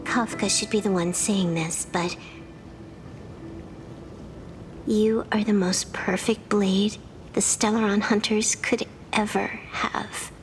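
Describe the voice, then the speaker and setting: A woman's voice: medium pitch, steady tone, unhurried, faint music behind, close to an everyday voice. A woman playing a cool, cheeky young teammate in a video game, with a laid-back, dry delivery. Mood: quietly sad.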